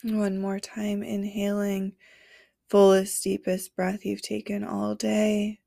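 A woman with a stuffy nose from a cold leads a guided meditation in an unhurried, soothing way. Her voice has a gravelly fry and stays on a narrow, even pitch. The recording is close and dry. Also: no room sound. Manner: slow, soothing guidance with long pauses. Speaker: podcast host and meditation guide.

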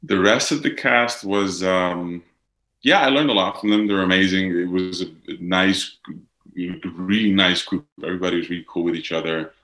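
A man's voice: sits low, steady tone, normal speed, pushed and bright, roomy sound, low-quality recording. A man, a native Dutch speaker, talking casually as an actor being interviewed, rambling with many fillers.

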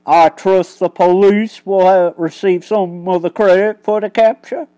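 A woman's voice: mid-range voice, steady tone, a little slow, dark tone, no room sound, close to an everyday voice. A woman voicing an eager, respectful male police constable.

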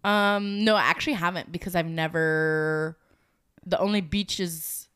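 A woman's voice: lowish voice, steady tone, a little slow, a bit bright, clean tone, no room sound, clean studio sound. A young adult woman with a stuffy nose, co-hosting a comedy podcast in playful, laughing chat.

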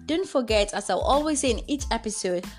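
A Nigerian woman hosting a podcast in a chatty, bubbly, playful and expressive way. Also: a little high, a little fast, faint music behind. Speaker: podcast host and drama producer. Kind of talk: casual chatty podcast talk.

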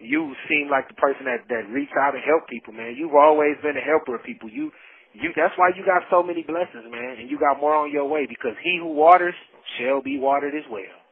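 A man's voice: a little high, a little fast, vocal fry. A man giving heartfelt, preachy testimony in casual conversation, repeating phrases for emphasis. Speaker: incarcerated storyteller. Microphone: phone call. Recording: muffled lo-fi phone call.

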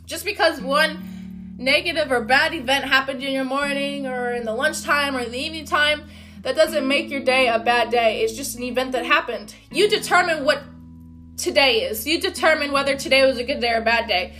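A loud, upbeat woman, hosting her own motivational podcast. Her voice sits high and bright, and she talks in an encouraging, casual way. Soft guitar music plays faintly behind her. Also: normal speed. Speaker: podcast host. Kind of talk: motivational solo podcast talk.

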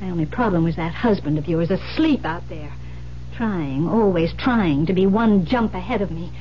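A woman's voice: lowish voice, normal speed, close to an everyday voice. An actress playing a sadistic escaped madwoman killer in an old radio thriller, taunting and condescending with menace. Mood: gloating, mocking. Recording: dull, noisy old radio recording.

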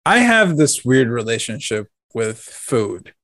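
A man's voice: medium pitch, sing-song, takes it slowly, fairly bright tone, no echo, studio quality. A man chatting casually as a podcast co-host. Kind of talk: casual chat.

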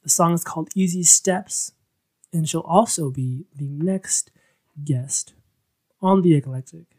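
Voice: mid-range voice, unhurried, darkish tone. An effusive, encouraging radio host speaking casually in an interview, praising and thanking the guest.

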